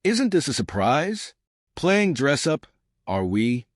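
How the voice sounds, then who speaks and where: A man's voice: on the high side, big pitch swings, takes it slowly, no echo, studio quality, pitched above the performer's own voice, heavily acted. A man voicing a smug, scornful young woman, a cruel sister in a storybook tale, who drawls and taunts with condescension. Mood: mocking.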